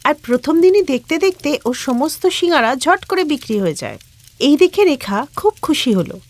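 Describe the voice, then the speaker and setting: A woman's voice: sits high, very animated, normal speed. A woman narrating a scripted children's story as the storyteller.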